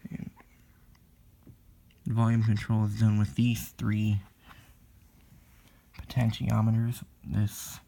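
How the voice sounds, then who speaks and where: A man giving an unscripted hands-on technical demonstration, explaining matter-of-factly in halting short phrases, recorded on a phone. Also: medium pitch, creaky, warm tone. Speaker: hobbyist presenter of own electronics demo. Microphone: phone recording video.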